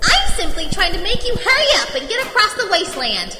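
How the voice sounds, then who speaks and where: In a big echoing space with noisy ambience, a squeaky bat creature nags along with sardonic impatience. The pitch is high and swoops about in a lively way, brisk and bossy, in audio drama style. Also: female voice. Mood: impatient, bossy. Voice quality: squeaky, batty. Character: annoyed fanged bat guide of Hell, squeaky and bossy. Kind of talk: audio drama character acting.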